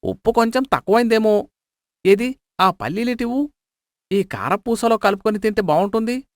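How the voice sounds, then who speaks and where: A man's voice: high-pitched, animated, normal speed, dry recording, clean studio sound. A man playing a sly, miserly cloth merchant in a moral story, wheedling, exclaiming and justifying himself.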